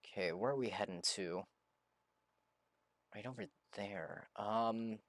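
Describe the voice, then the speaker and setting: A man's voice: on the low side, slow pace, noisy background. A man streaming a game, chatting informally and slangily to viewers as he reacts live. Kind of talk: casual live reaction chat. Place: streaming over game audio with faint music.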